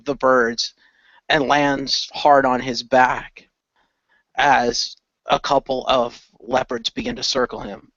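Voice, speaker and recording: A man tells improvised adventure action in the present tense. His pitch is average for a man. The recording is dry and somewhat dull, like a homemade radio play. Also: slow, a bit bright, no echo. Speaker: narrator and player in amateur radio play.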